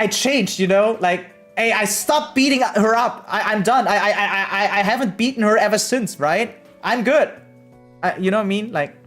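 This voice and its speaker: A streamer with a medium-pitched voice giving casual reaction commentary, reading aloud and chatting in an animated, exclamatory way, often checking in with "right?". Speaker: variety streamer. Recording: music behind the voice. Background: music.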